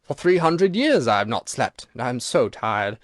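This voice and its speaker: A man reading aloud and voicing a lonely, weary old ghost, speaking low and dreamily. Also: very animated, moderate pace, no room sound, studio quality, natural voice. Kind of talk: audiobook reading.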